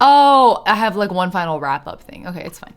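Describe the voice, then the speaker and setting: A woman's voice: fairly low pitch, fast. Woman streamer chatting over background music. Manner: animated, slangy, reacts aloud and grumbles. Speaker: video game streamer. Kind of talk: casual live stream chat with gameplay reactions. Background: game background music.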